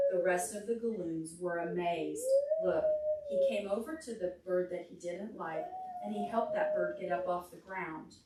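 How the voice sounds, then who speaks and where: A woman children's librarian reading a picture book aloud expressively to preschoolers, pausing to explain simply and ask them questions. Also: lowish voice, recorded from a distance, very noisy. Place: large carpeted indoor library meeting room. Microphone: distant camera microphone. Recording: roomy, picked up from across the room.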